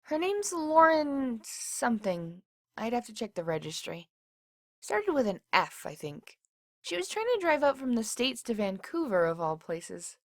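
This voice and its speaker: A woman's voice: fairly high pitch, sing-song, measured pace, no room sound, studio quality. A woman playing a chatty, cheerful small-town motel desk clerk, rambling in a folksy, bubbly way through a diary. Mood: playful. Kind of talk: acted diary reading.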